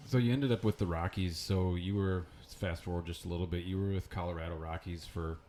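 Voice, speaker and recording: A man's voice: deep voice, steady tone, a little fast, warm tone, a little hiss or hum. A man co-hosting a podcast, asking his guest questions in a casual, conversational way. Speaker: podcast co-host. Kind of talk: casual interview chat.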